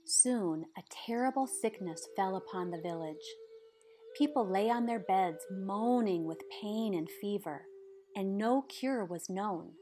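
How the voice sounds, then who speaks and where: A woman reading a children's picture book aloud in a measured, dramatic storytelling manner. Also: medium pitch, warm tone, soft background music. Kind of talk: expressive scripted storybook narration.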